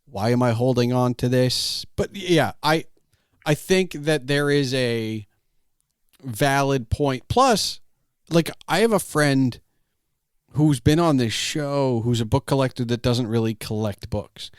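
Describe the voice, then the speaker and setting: A man's voice: mid-range voice, lively intonation, slow, smooth, dry recording, clean studio sound. A man hosting a podcast in a casual, informal way, talking emphatically and repeating his phrases. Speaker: podcast host. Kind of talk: casual chat.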